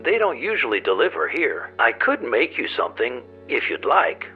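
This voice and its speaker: An actor playing a helpful, courteous small-town motel keeper in a naturalistic video game scene. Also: male voice, medium pitch, big pitch swings, normal speed, phone-quality sound, faint music behind. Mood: obliging.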